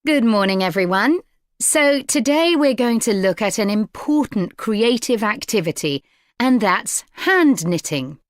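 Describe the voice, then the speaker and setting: A woman's voice: fairly high pitch, animated, measured pace, no echo, clean studio sound. A woman playing a fashion design lecturer, giving a scripted, articulate, explanatory lecture. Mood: measured, explanatory.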